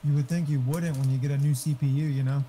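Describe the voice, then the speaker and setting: Very mellow, soft-toned man. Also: medium pitch, fairly even intonation, quick pace, a little hiss or hum. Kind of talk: casual chat.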